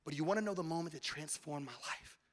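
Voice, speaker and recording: A man in his forties preaching a sermon on a church stage with a handheld mic, swinging between shouted calls to the congregation and hushed, intimate talk. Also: fairly high pitch, fast. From United States. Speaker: pastor. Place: large church stage with audience.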